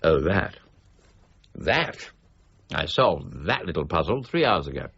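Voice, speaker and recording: An actor playing a proud, irascible, middle-aged scholar in a period radio drama, speaking formally with grave, clipped intensity. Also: male voice, very deep voice, sing-song, unhurried, creaky, breathy edge, lo-fi sound, exaggerated voice. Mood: satisfied. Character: brooding, proud, irascible ageing English scholar, stern mint warden.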